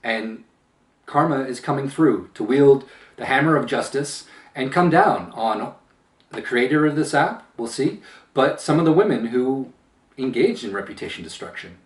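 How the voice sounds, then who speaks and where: A man with a powerful, mid-pitched voice speaks his mind in a measured monologue, slowing down to lean on key words. His voice grows loud and forceful as he presses a point. It rings in a roomy space, picked up from some distance away from the mic. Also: lively intonation. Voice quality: powerful voice that gets loud when upset. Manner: emphatic, slow deliberate phrasing with pauses. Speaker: channel host. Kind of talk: opinion commentary monologue.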